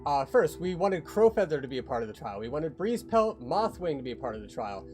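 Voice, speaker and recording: A man's voice: fairly high pitch, sing-song, fairly quick, a little mellow. A man chatting casually as a creator walking viewers through a project on a livestream. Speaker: creator on a behind-the-scenes livestream. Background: music.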